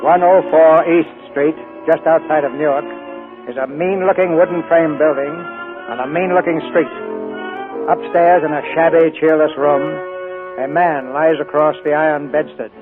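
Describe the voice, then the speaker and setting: A man announcing and narrating a radio drama in a smooth, measured, theatrical 1940s broadcast style. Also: on the high side, steady tone, narrow, tinny audio, music in the background.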